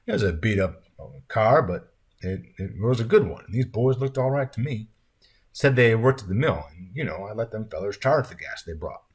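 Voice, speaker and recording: A man's voice: fairly low pitch, lively intonation, moderate pace, breathy edge. A man playing a weary, distrustful country diner owner in a story reading. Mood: rueful.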